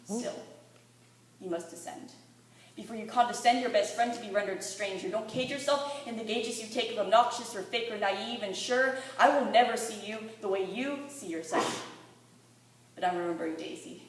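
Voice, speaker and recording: A woman's voice: mid-range voice, normal speed. A teenage girl performing spoken-word poetry with earnest, dramatic, rhythmic delivery. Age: teens, fifteen or sixteen. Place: library room with a live audience. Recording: very echoey room, distant mic, faint noise.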